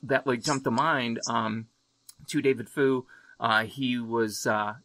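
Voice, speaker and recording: A man's voice: medium pitch, steady tone, slow, fairly bright tone, no echo. A man from the United States hosting a podcast over a remote call, talking in an exuberant, casual way and swearing freely. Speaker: podcast host. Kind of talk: casual interview chat. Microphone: remote video call.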